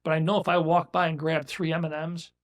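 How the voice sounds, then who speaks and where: A man's voice: on the high side, level tone, brisk. A man in his sixties, a business owner talking as a guest in a casual interview, blunt and emphatic, often restarting his sentences. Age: 60s.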